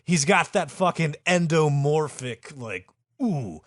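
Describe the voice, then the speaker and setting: A man's voice: fairly high pitch, expressive, slow pace, no echo, studio quality. A man co-hosting a comedy history podcast, telling the story with crude jokes and mock-dramatic flourishes. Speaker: podcast co-host narrating history. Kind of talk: casual comedic podcast banter.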